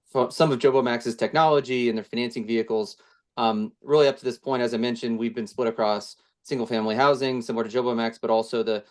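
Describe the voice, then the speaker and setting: A man with a mid-range voice talks through his company's story in a relaxed way. He often stumbles over words and restarts his phrases. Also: medium pitch. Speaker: co-founder of a housing firm. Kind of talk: interview answer.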